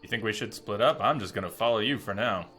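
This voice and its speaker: A man's voice: on the low side, fast talker, a bit bright. A man giving casual live gameplay commentary as a streamer, rambling through explanations in a joking way. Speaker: game streamer. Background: game music.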